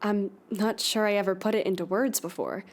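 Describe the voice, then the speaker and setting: A woman's voice: mid-range voice, close to an everyday voice. Actress as a determined woman investigative journalist. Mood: earnest, tentative. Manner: deliberate, earnest. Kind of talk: audio drama, scripted investigative podcast narration.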